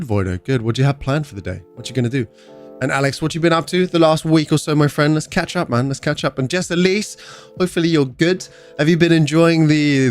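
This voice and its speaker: A young man from England with an English accent, hosting a gaming livestream and chatting casually with viewers as he reads their messages. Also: mid-range voice. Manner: chatty, reads chat aloud and answers viewers. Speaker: livestream host.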